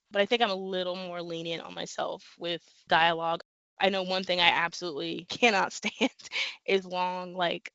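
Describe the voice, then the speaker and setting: Low-voiced woman on a muffled call. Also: no echo. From American Midwest. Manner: animated, talks with many fillers and laughs. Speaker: guest author. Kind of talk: casual interview conversation.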